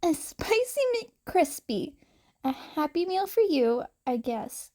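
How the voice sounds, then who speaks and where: A battered teenage boy vigilante, played by a woman, speaking in a high, strained voice. He is weary yet kind and protective, as heard in an audio story. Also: sing-song, slow pace, darkish tone, exaggerated voice. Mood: gentle, reassuring. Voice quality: strained, raspy.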